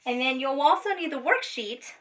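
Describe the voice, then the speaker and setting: Bright-voiced woman teaching a children's craft. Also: high-pitched, expressive, normal speed. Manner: informal, patient, often checking in with "okay?". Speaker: special education teacher. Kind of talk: step-by-step craft lesson for children. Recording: distant microphone.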